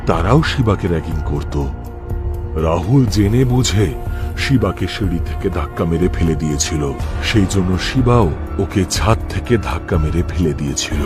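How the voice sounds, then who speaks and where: A man reading scripted horror cartoon narration in the first person, in a dramatic, suspenseful manner. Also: sits low.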